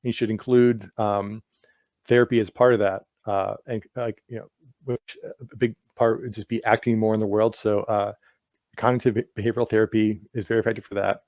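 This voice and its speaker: A man's voice: fairly low pitch, flat delivery, brisk, dry recording, highs cut off. Stuttering man, dry close sound. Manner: stutters with sound and word repetitions; heavy use of "like" as filler. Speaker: guest author. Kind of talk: casual interview answers.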